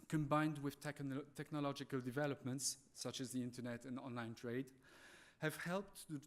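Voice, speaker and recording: A man with a French accent giving a conference presentation in a halting, polite, apologetic way. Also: medium pitch. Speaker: conference speaker, animal welfare manager.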